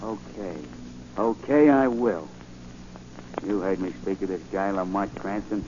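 An actor playing a jumpy, shady small-time crook with rough, slangy speech, in 1940s radio drama style. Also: male voice, sits low, a little slow. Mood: cagey, sly. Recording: muffled, lightly noisy 1940 radio recording.

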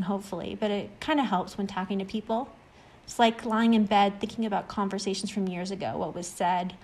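A woman's voice: medium pitch, a little mellow, natural voice. A woman playing a reassuring, encouraging, talkative friend in an acted short-story reading. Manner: encouraging, explanatory. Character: reassuring, talkative friend who overcame extreme anxiety.

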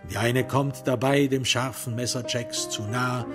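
A man playing a taunting serial killer who recites a macabre counting rhyme, deliberately and with drawn-out stresses, in a crime radio play. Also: medium pitch, steady tone, over music, natural voice.

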